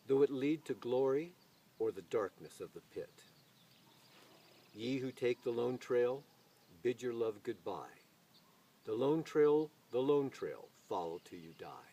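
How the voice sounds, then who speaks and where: A man hosting a poetry reading, speaking with deliberate, expressive phrasing. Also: mid-range voice. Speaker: video channel host reading poetry aloud. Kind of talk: expressive poetry recitation with casual spoken introduction. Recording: distant mic, noise, birdsong ambience.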